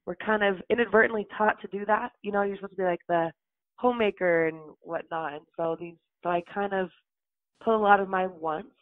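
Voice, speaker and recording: A woman's voice: mid-range voice, fairly even intonation, brisk, no room sound, phone-quality sound. A woman from Utah chatting casually as an interview guest, laughing often and saying "like" a lot. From Utah, USA.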